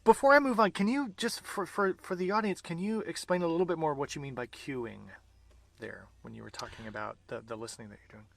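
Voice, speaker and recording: A man's voice: fairly high pitch, brisk, gravelly, fairly bright tone. A man in the role of interviewer, talking in a casual, conversational style.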